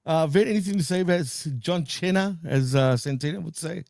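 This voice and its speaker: A man's voice: on the high side, a little fast, vocal fry, a little mellow, dry recording, studio quality. A man hosting a casual wrestling podcast, laid-back, laughing often and hedging with fillers. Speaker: podcast host.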